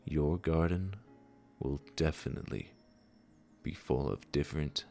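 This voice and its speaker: Deep, unhurried, gentle guardian gardener played by a man. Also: gravelly, faint music behind, heavily acted. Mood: reassuring, fond. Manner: soothing, unhurried, reassuring, with soft chuckles. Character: gentle, wise male supernatural gardener tending souls' gardens. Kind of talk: intimate comforting audio roleplay, spoken to the listener.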